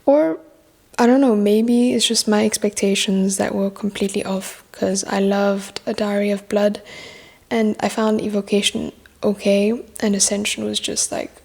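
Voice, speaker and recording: A young woman talks through her year of books in a loose, chatty way, often restarting sentences as she thinks aloud. Her mid-range voice carries a gravelly edge. Her first language is French. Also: mid-range voice, little pitch movement, moderate pace, a little mellow. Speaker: book vlogger. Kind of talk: casual book review chat.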